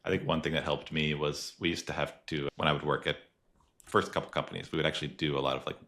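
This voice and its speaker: A man's voice: very deep voice, steady tone, fast talker, a bit bright. A man hosting a podcast interview, talking in an easygoing, chatty way with lots of fillers and frequent laughs. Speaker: podcast host. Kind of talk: casual conversational interview.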